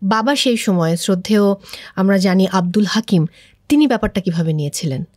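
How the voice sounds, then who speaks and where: A woman television host with a Bangladeshi accent speaks at a middle pitch in a conversational interview style. Her voice is recorded dry, with hardly any room sound, and she drops English words into her talk. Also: animated, fairly quick, a little mellow, no room sound. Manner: mixes English words into Bengali.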